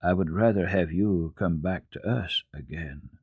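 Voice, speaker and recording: A man's voice: sits low, unhurried, breathy edge, no echo, narrow, tinny audio, full character voice. A man voicing an earnest, affectionate, tearful little girl with a pleading, impulsive manner in a dramatic children's storybook reading. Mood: timid.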